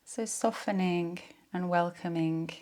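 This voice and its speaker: A woman leading a guided yoga nidra relaxation in a soothing, unhurried, soft-spoken way. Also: fairly low pitch, fairly even intonation.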